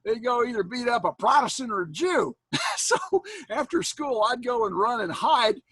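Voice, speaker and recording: Brief: jokey sixties-age instructor on a video call, husky higher voice, close and dry. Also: male voice, animated, moderate pace, fairly bright tone, no room sound. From Iowa, USA. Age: 60s. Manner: folksy, joking, laughs often. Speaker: college instructor. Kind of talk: casual chat with students after an online class. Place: home, indoors, on a video call. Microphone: computer mic on a video call.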